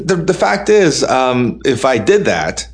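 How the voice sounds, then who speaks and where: A man's voice: medium pitch, big pitch swings, slow. A man in his forties talking earnestly and thoughtfully in a casual group chat, often breaking into laughter. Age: 40s.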